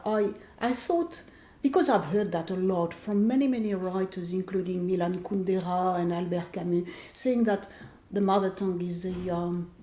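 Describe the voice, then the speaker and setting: A woman in her sixties with a French accent talks slowly and reflectively as an author in conversation, restarting phrases as she searches for the right English word. Her voice is warm and mellow, and it rings a little in an echoey room. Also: medium pitch. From France. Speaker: author being interviewed.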